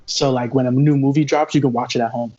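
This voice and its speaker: A young adult man talking in a laid-back, smooth way as a podcast co-host on a video call. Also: medium pitch, steady tone, quick pace, darkish tone. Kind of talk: casual chat. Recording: dull lo-fi call audio with crowd noise.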